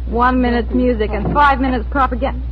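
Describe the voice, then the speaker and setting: A woman's voice: a little high, fairly even intonation, fairly quick, crowd noise, close to an everyday voice. Actress as sulky young woman, muffled old radio. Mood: sarcastic. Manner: sarcastic, sulky, blunt. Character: sullen, despairing young Canadian woman worker, snappish. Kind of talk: 1940s radio drama acting. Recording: muffled 1940s radio broadcast.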